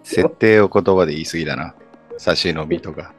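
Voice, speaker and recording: A man's voice: sits low, fairly quick. A man acting as the stern, pompous director of an acting workshop, giving critiques. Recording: music behind the voice.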